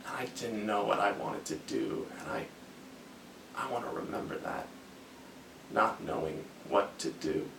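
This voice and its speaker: A man performing a dramatic monologue as a haunted, brooding army officer, speaking in a halting, deliberate way with long pauses. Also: medium pitch, gravelly. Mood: anguished. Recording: echoey room, distant mic, faint noise.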